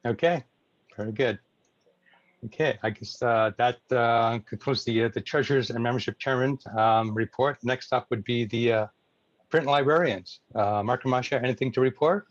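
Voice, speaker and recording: A man chairing an informal online club meeting in a halting, hesitant way over muffled video call audio. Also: mid-range voice, dry recording.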